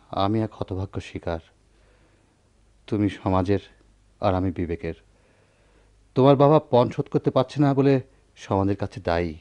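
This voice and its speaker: A man playing an earnest, conscience-stricken village doctor in a radio drama, with measured, educated diction and a Bangladeshi accent. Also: on the low side, mellow. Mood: regretful, solemn. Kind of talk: Bengali radio drama acting.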